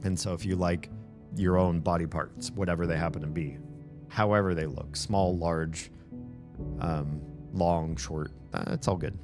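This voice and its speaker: A man's voice: deep voice, fairly even intonation, a little slow. A man chatting casually and at length on a live stream from a room at home, rambling and correcting himself as he goes. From United States. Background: music.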